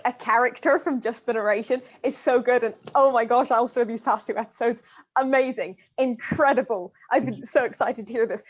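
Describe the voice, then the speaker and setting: A woman with a high voice gives feedback in casual chat. She gushes and leans hard on her words of emphasis. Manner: gushing, emphatic. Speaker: cast member giving feedback.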